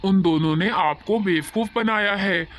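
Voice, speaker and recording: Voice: mid-range voice, normal speed, a bit bright, lightly acted. A performer voicing an adult cartoon bird in a children's story, with plain, declarative delivery. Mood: indignant. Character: cartoon birds: pigeon farmer, crow swindler, uncle. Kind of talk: Hindi animated children's story dialogue.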